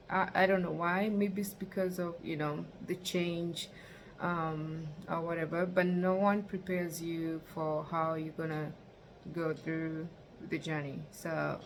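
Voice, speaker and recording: Rambling low-voiced woman from Kenya. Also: gravelly, slight background noise. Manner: rambling, many fillers. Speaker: vlogger. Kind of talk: casual talk to camera.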